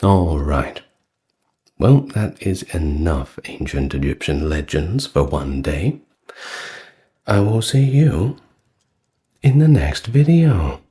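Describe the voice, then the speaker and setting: A man hosting and narrating in an ASMR storytelling style, with a measured delivery. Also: sits low, very animated, warm tone. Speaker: host, narrator of a history and mythology channel.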